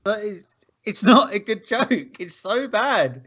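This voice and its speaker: A man with a slightly high, very lively voice, ranting in casual group chat. He swears and hammers his points by repeating them. The sound is a little dull and lo-fi. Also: slow, a loud crowd. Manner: sweary, emphatic, repetitive ranting. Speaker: podcast co-host.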